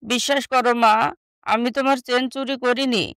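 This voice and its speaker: A woman playing a neglected, soft-hearted young stepsister in an animated moral story, meek and pleading. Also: a little high, moderate pace, clear, resonant tone, no echo, clean studio sound, natural voice. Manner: pleading, submissive.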